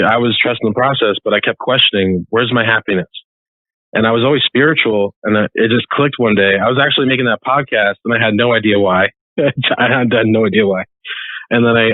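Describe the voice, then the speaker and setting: A man who works as a psychic, talking earnestly and reflectively in a casual podcast chat, laughing softly now and then. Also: lowish voice, no echo. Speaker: psychic guest. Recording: dry phone-like call line.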